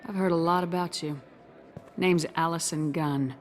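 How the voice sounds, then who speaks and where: A composed, tough woman commander from a video game, performed by an actress, with a lowish voice. She is firm, direct and dry, with music playing clearly underneath. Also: steady tone, moderate pace, lightly acted. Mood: cool, direct. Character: tough, composed female commander posing as party guest. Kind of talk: video game character acting. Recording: music behind the dialogue.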